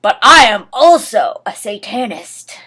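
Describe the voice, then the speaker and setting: A big, indignant wizard groundskeeper played by a woman declaims loudly in a dramatic story reading. He drags out his words with great melodrama and wounded pride. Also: fairly high pitch, sing-song, unhurried, breathy edge, exaggerated voice. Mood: angry, defiant.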